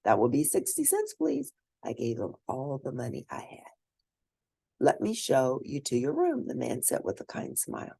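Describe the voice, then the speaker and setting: Female storybook narrator, gravelly and husky, lively pitch movement, deliberate delivery, close dry recording. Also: no echo. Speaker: read-aloud narrator. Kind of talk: scripted storybook reading in first person.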